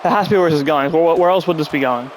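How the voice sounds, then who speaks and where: A man's voice: a little high, very fast, a little hiss or hum. A man with a stuffy nose who is coming down with a cold, hosting a casual wrestling review chat at home in a rambling, sarcastic way. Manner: rambling, sarcastic, often annoyed. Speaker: podcast host.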